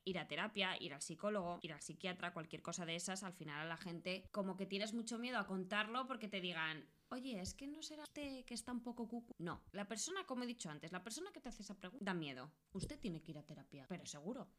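Female podcast co-host, Spain accent, medium pitch, colloquial, frank and emphatic in casual conversation. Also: expressive, quick pace, hardly any pauses, a bit bright. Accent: Spanish from Spain.

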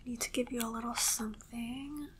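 A woman whispering an ASMR roleplay as a calm, reassuring alien medical examiner, repeating short reassurances in a hushed, soothing way. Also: on the high side, a little fast, noisy background.

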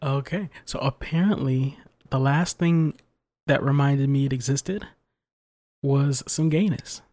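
A young man co-hosting a casual late-night podcast, keeping his voice soft and hushed so he won't wake a sleeping child. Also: mid-range voice, unhurried. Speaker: podcast co-host.

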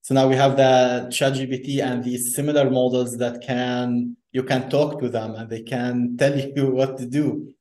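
A man's voice: mid-range voice, level tone, moderate pace, hardly any pauses, dark tone. A man who grew up in Lebanon, talking as an interview guest, often repeating himself and restarting sentences. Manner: repeats words, many restarts. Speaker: guest engineer. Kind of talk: conversational interview. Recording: echoey room.

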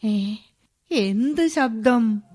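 A woman's voice: fairly high pitch, expressive, extremely slow, mellow, full character voice. A woman acting a bedridden old widow in a Malayalam radio drama, with a Kerala accent, sighing between phrases, nostalgic and firm yet motherly. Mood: probing, concerned.

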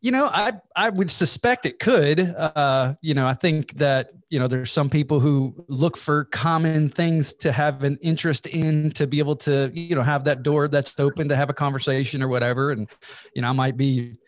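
A man's voice: on the high side, moderate pace. A man in his late thirties, a pastor, talking in an easygoing, friendly way as a podcast guest. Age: 30s. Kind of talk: casual interview chat.

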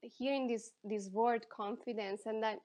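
Italian lady coach on a podcast, kinda high voice, talks fast in bursts, very expressive. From Italy. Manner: lively and expressive, quick bursts and restarts. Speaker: counselor and life coach. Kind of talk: casual interview.

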